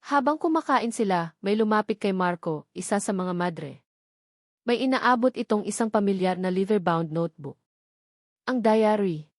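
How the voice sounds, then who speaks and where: A woman's voice: medium pitch, lively intonation, normal speed, no echo, clean studio sound. A woman with a Filipino accent narrating a scripted romantic story in a sentimental, earnest read-aloud manner. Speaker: audiobook narrator.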